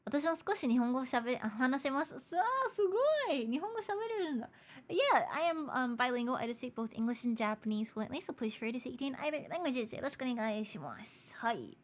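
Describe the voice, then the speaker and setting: Young female streamer, hoarse from overuse, raised pitch, chatty and upbeat. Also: very animated, very fast. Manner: bubbly and chatty, greets viewers, frequent giggles. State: hoarse, losing her voice. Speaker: VTuber streamer. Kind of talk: casual livestream chat, switching between English and Japanese.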